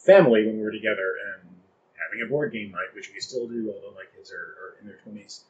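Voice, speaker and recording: A man's voice: fairly low pitch, quick pace, a little mellow. A man in his fifties in casual, reflective podcast discussion, often chuckling as he talks. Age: 50s. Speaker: podcast co-host. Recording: dull lo-fi audio, clearly noisy.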